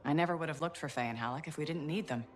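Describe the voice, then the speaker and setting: An actress playing a level-headed, loyal team leader in a video game, speaking in a direct, dry, reassuring way. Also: female voice, low voice, racing, slight background noise, close to an everyday voice. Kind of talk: video game cutscene acting.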